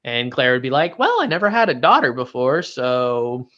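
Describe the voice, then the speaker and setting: A man's voice: medium pitch, very animated, moderate pace, highs cut off. A man chatting in an informal, slangy way as a guest discussing a TV show. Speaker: guest co-reviewer. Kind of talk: casual chat, informal TV discussion.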